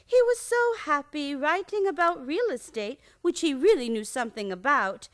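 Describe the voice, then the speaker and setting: A woman's voice: sits high, animated, moderate pace. A woman playing a genteel, chatty, doting old aunt in a radio play, sweet and fussing as a hostess. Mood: fond, earnest. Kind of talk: stage-style radio play acting.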